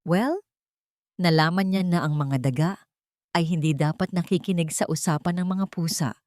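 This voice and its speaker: A woman's voice: fairly low pitch, normal speed, darkish tone, dry recording, studio quality, close to an everyday voice. The spoiled, scheming daughter of a crime family in a radio serial, sneering coldly and smugly at her victims, as a woman narrator plays her. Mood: menacing contempt. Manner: mocking, cold, smug. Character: scheming, contemptuous young female villain, rich heiress.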